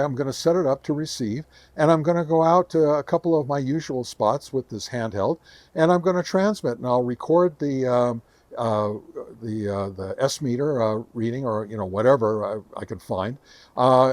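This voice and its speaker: A man's voice: medium pitch, darkish tone, no room sound. Man giving a chatty gadget demo, dry sound. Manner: chatty, enthusiastic about gadgets. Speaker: host of a radio gear review channel. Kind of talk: casual hands-on product demo and explanation. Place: home radio shack.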